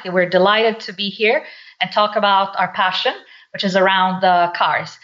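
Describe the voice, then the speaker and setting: A woman from Poland, a company founder, speaking as an interview guest at a middling pitch. She talks thoughtfully, with occasional hesitations. The audio is muffled and lo-fi. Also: a bit bright. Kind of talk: interview answers. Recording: dull lo-fi line.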